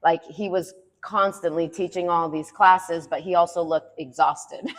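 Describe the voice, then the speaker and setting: A woman speaks candidly on a podcast, her voice slightly low and full of life. She laughs easily and speeds up when she vents. The sound carries a long, hall-like reverb. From Puerto Rican heritage. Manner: animated, laughs often, quick bursts when venting. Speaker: podcast co-host. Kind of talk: candid casual chat.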